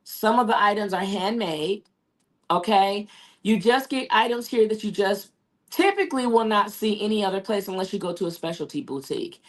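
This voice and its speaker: A woman with a hoarse, raspy voice from losing it, hosting a casual talk show and chatting along with many fillers. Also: mid-range voice, moderate pace. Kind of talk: casual live show sign-off.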